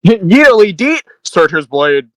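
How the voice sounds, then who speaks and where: A man's voice: sits high, very animated, measured pace, no room sound, low-quality recording. A man chatting casually as a player in a tabletop role-playing game.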